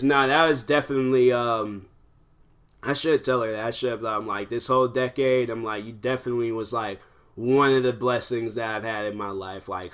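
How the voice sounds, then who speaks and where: A man in his twenties hosting his own podcast, talking casually and rambling with lots of fillers. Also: medium pitch. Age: 20s. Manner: rambling, animated, many fillers. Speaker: podcast host. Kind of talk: casual solo podcast chat.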